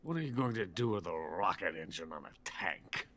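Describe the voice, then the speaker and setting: A drunk, pompous Soviet scientist. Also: male voice, low voice, animated, moderate pace, fairly bright tone. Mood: bitter, scheming. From Soviet Union. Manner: pompous, self-important, bitter. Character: drunk, boastful older Soviet weapons scientist. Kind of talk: video game cutscene voice acting.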